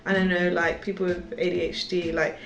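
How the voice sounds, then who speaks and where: A woman chatting with a friend about a video, speculating out loud in a chatty way. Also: on the low side, level tone, a little fast, gravelly, roomy sound, faint music behind, poor audio. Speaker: panel viewer. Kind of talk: casual chat.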